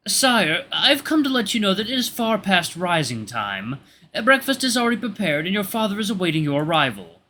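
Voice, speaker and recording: A man's voice: high voice, very animated, brisk, bright, forceful tone, slight background noise, pitched above the performer's own voice, full character voice. A man voicing a deferential, formal and fussy royal servant in a storybook tale. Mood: dutiful, prompting. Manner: formal, courteous. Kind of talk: storybook character voice.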